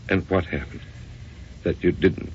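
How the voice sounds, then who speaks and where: An American man playing a troubled, insistent husband and narrator in an old-time radio drama, with a gravelly voice. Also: measured pace, a little mellow. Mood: probing, insistent. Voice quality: very deep, gravelly. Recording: dull, noisy old radio recording.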